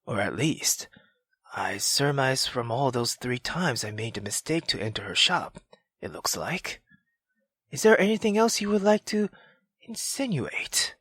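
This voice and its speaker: A woman voicing an irritated, weary aristocratic husband in a low, restrained, exasperated way for a visual novel. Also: sing-song, a little slow, a bit bright, husky, no room sound, heavily acted. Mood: restrained, pleading.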